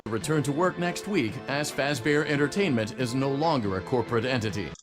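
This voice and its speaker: An actor playing a formal company man reading out an official notice in a measured, scripted way, in a played-back game clip. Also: mid-range voice, animated, close to an everyday voice. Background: music.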